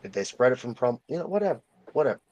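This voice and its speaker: A man's voice: medium pitch, sing-song, racing, darkish tone, dry recording, dull audio. Male co-host for casual conspiracy chat, insistent, rambling and foul-mouthed. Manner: profane, insistent, repeats himself. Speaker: talk show co-host.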